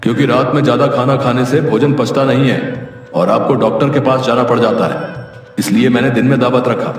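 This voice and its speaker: Cartoon miser played by a man: wily, bossy rich businessman, mid-pitched, smug, heavy echo. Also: steady tone, fairly quick, natural voice. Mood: self-justifying. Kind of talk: cartoon story dialogue. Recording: very echoey, distant, music underneath.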